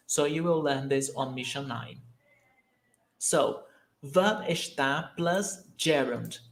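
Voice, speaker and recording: A man teaching a language lesson, speaking slowly and deliberately and repeating each example sentence. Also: on the high side, fairly bright tone, roomy sound. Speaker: Portuguese teacher. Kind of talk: language lesson, mixing English and Portuguese.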